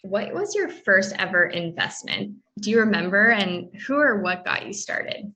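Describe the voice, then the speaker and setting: A woman's voice: medium pitch, lively intonation, normal speed, pushed and bright. A woman hosting an interview, upbeat and welcoming as she asks questions. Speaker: podcast host.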